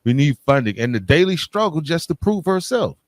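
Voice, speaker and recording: A man's voice: fairly high pitch, sing-song, brisk, no room sound, clean studio sound. A man hosting an interview podcast, speaking in an informal and encouraging manner. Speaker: podcast host.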